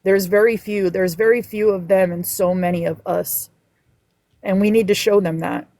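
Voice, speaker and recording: A woman guest on a live panel, speaking earnestly and emotionally, sometimes halting. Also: mid-range voice, fairly even intonation, dark tone. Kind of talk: personal testimony on a live stream.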